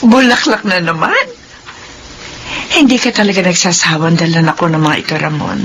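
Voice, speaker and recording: A woman playing a gentle, grateful, devout middle-aged mother in a Filipino radio drama, speaking with tender emotion. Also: lowish voice, moderate pace, a little hiss or hum.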